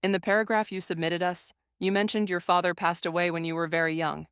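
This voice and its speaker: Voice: mid-range voice, flat delivery, fast, a bit bright, smooth, no room sound, studio quality. A young adult interviewer asking prepared questions and follow-ups in a polite, formal way.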